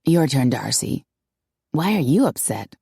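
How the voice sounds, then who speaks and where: A woman voicing a tough, sardonic female vampire in an audiobook, with a low, growly, blunt delivery. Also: very animated, unhurried, creaky, mellow, husky, no room sound, clean studio sound, heavily acted. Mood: scornful, blunt. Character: tough, sardonic female vampire, hard-drinking and scornful of men. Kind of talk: audiobook character acting.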